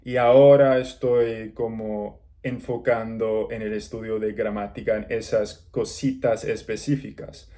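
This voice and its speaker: Slow, mellow-voiced man learning the language. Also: fairly low pitch, level tone. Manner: halting learner's speech with pauses and restarts. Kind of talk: casual talk to camera.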